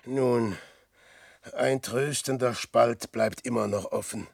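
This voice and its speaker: An actor playing a despairing, boastful old man facing death, declaiming verse in a brooding, theatrical radio drama style with a gravelly, husky voice. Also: medium pitch, steady tone, slow pace, dry recording, studio quality, heavily acted. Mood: wry, uneasy. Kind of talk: 1960s German radio drama verse acting.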